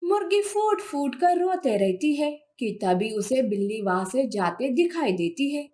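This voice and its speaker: Female narrator for children's fables: high pitch, very slow, measured delivery. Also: very animated, mellow. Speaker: storyteller.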